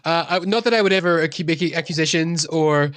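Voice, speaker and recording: A woman's voice: low voice, fairly quick, background noise, natural voice. A woman with a New Zealand accent playing an earnest, slightly vain reindeer centaur cleric in a tabletop game, awkward and hesitant.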